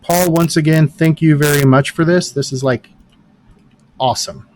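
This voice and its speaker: High-voiced man running a tabletop game. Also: steady tone, moderate pace, a little mellow, a little hiss or hum. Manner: methodical, explains rules patiently. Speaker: game master.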